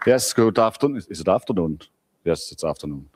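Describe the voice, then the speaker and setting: A middle-aged man with a Swiss accent, a company director greeting an expo audience in a relaxed, friendly way. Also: low-pitched, fairly quick, noisy background. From Switzerland. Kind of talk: speech at an event.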